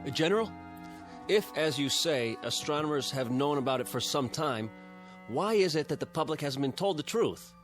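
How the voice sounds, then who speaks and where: An actor voicing a doctor who keeps pressing with sharp questions. His voice is a little high. Faint music runs beneath. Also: animated, normal speed, lightly acted. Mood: probing. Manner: probing, questioning. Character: inquisitive male doctor.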